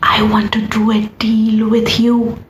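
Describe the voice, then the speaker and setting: A woman's voice: a little high, completely flat delivery, a little slow, roomy sound, a little hiss or hum, lightly acted. A woman voicing a wicked sister-in-law in a folktale, sly and conspiratorial as she schemes. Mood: sly, scheming.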